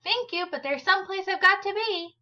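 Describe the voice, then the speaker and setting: A woman's voice: very high-pitched, expressive, normal speed, pushed and bright, muffled recording, voice pushed up, heavily acted. A woman voicing an eager, polite nine-year-old boy in a hurry, from a children's storybook.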